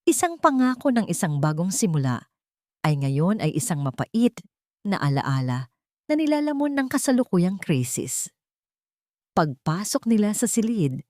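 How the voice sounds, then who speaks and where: Low-pitched woman narrating a drama. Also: a little mellow, no echo, studio quality. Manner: emotive, deliberate storytelling with short suspenseful phrases.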